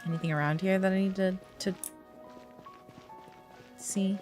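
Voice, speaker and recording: A woman game streamer giving casual live reactions in an excitable, giggly manner. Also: medium pitch, unhurried. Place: streaming at home. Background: game audio with music.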